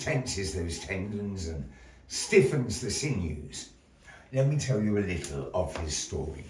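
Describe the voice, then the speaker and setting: A man hosting a live show as storyteller, with grandiose, deliberate phrasing and playful asides to the audience. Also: lowish voice, darkish tone, a little hiss or hum. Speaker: storyteller and master of ceremonies. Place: indoor gallery. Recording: roomy echo, voice distant from mic.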